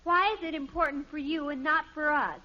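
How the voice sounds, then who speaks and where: An actress playing an indignant, dramatic, curious American teenage daughter in a 1950s radio sitcom. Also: female voice, high voice, fairly even intonation, fairly quick, sharp tone. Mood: defiant. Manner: indignant, pleading. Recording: dull old radio recording.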